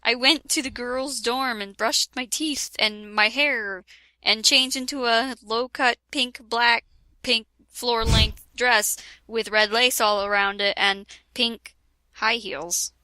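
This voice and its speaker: A woman's voice: on the high side, fairly even intonation, slow pace, bright, forceful tone, dry recording, rough recording. A woman giving a casual comic reading of a parody fan story, giggling and breaking off for joking asides. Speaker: reader of a parody fan fiction.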